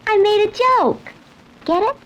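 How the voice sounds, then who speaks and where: An actress playing a perky, ditzy young secretary in a 1940s radio show. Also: female voice, very high-pitched, a little slow, a bit bright. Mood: giggly, pleased. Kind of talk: 1940s radio comic-relief acting. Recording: dull old radio recording, faint noise.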